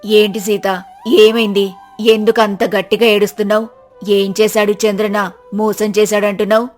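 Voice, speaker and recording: Concerned neighbour woman for a village tale, played by a woman: lowered pitch, insistent questioning, faint music. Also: flat delivery, moderate pace, lightly acted.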